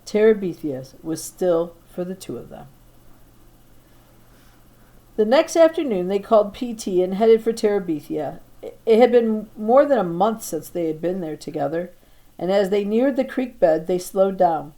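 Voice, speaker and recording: A woman teacher reading a storybook aloud to her class, expressive, now and then stumbling and repeating a word. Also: mid-range voice, normal speed. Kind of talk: storybook narration read aloud.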